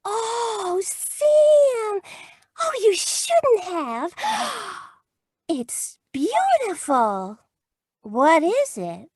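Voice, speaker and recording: Sekretaris perempuan muda bernada sangat tinggi, lugu. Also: female voice, very high-pitched, huge pitch swings, drawn-out words, a little mellow, dry recording, studio quality, exaggerated voice. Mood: delighted, puzzled. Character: devoted, gushing, naive young secretary of a detective. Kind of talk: 1940s-50s radio comedy-mystery acting.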